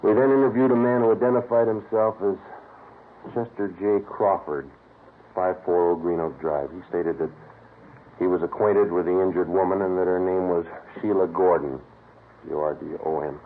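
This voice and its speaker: A man's voice: low voice, steady tone, normal speed. An American man playing a terse, matter-of-fact police detective sergeant in a 1950s radio drama, speaking in a clipped, businesslike way. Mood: methodical. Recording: muffled, noisy old radio recording.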